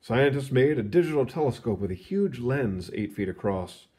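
A man reading a children's science book aloud in an expressive, explanatory storytelling manner. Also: medium pitch, normal speed, husky. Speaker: read-aloud narrator.

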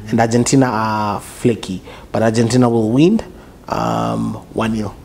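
A man in his forties hosting a casual discussion in a small studio, leading with lively questions. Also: fairly low pitch, moderate pace, creaky, a little hiss or hum. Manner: lively, leads the chat with questions. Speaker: host. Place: small office studio, indoors.

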